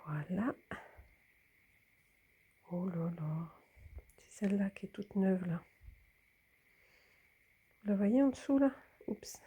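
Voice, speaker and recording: A woman's voice: medium pitch, expressive, slow, long pauses, dark tone, slightly rough. A woman with a slight cold talking casually while she handles a hanging plant and films it, often dropping into a whisper. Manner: talks while filming, drops to whispers. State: a bit congested with a cold. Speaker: plant vlogger. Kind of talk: casual hands-on narration.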